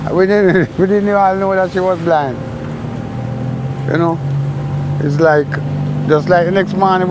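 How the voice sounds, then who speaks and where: A man with a Jamaican accent giving a casual account in an interview. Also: fairly high pitch. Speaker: interviewee, street vendor. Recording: noisy location interview.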